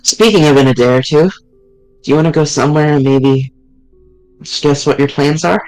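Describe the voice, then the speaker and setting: A man's voice: a little high, normal speed, darkish tone, faint music behind, lightly acted, low-quality recording. A man voicing a loyal young male friend character while reading a fan visual novel aloud on stream. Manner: casual, blunt delivery with mild swearing.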